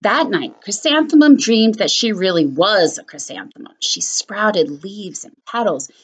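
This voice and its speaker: A woman elementary school teacher reading a picture book aloud to her students, expressive and animated. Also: medium pitch, unhurried, breathy edge.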